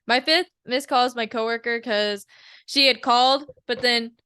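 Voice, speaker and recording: Bright-voiced giggly young woman. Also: a little high, steady tone, normal speed, clean tone, no room sound, clean studio sound. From South Korea. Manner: giggly, laid-back, swears casually. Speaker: podcast co-host. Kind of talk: casual chat.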